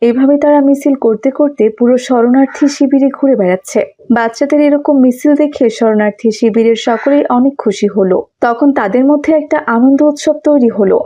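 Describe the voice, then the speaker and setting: High, mellow female cartoon narrator. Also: fairly quick. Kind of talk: scripted story narration.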